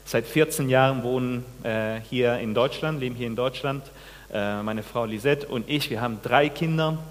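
A man in his forties from Peru, a pastor giving a sermon, speaking haltingly with many restarts and questions to the congregation. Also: mid-range voice, long reverb tail. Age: 40s. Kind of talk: sermon with personal testimony. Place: church.

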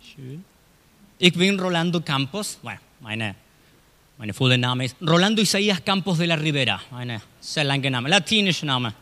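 A middle-aged man from Chile with a Chilean Spanish accent, a pastor preaching a sermon in a slow storytelling way with hushed dramatic drops. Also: on the high side, expressive. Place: church hall. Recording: long hall reverb.

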